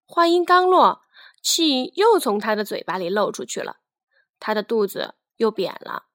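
A woman narrating a scripted children's fairy tale in an expressive storytelling manner. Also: a little high, normal speed, no echo, clean studio sound.

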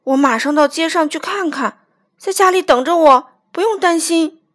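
A woman voicing a calm, reassuring father mouse in a children's story. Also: high-pitched, normal speed, natural voice. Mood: confident, comforting.

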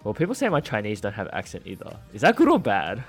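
A man co-hosting a podcast, chatting casually and explaining things in a relaxed way with lots of likes and yeahs. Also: lowish voice, quick pace, breathy edge, faint music behind. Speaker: podcast co-host, English speaker. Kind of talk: casual conversational chat. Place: studio in Sydney.

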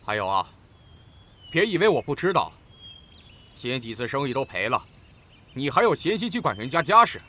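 A man's voice: medium pitch, big pitch swings, normal speed, long pauses, pushed and bright. A man playing a stern, scolding merchant father in a Chinese period audio drama.

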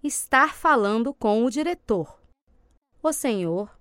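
A woman reading scripted language drill prompts as an instructor, with measured, deliberate enunciation. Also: on the high side, expressive, fairly bright tone, smooth, no echo, clean studio sound.

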